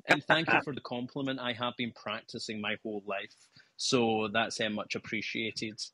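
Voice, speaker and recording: A man's voice: lowish voice, fairly even intonation, moderate pace, pushed and bright, no echo, poor audio. A man in his thirties from Scotland with a Scottish accent, chatting enthusiastically as a caller and swearing casually. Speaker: guest caller. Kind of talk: casual call-in discussion. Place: calling from home over an internet call.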